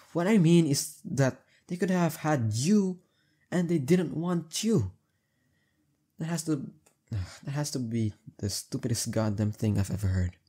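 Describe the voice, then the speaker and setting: A young man acting as a heartbreaker friend in an intimate roleplay audio, talking casually and haltingly with emphasis and a soft husky edge. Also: on the high side, lively intonation. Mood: indignant, adoring.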